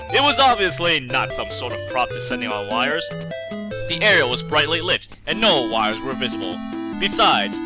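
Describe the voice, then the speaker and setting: A man reading fan fiction aloud as a storyteller, with dramatic narration. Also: a little high.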